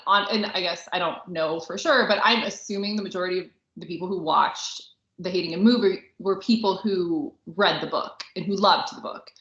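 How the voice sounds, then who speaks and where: Bright-voiced woman in an echoey room. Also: mid-range voice. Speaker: podcast co-host and romance author. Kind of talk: casual podcast chat. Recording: roomy, echoey, slightly muffled podcast audio.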